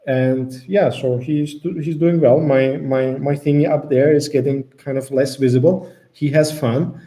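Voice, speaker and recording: Relaxed Austrian man, mellow voice. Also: medium pitch, a little slow. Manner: relaxed, hesitant, restarts sentences. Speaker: co-host of a travel Q&A. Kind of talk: casual live chat.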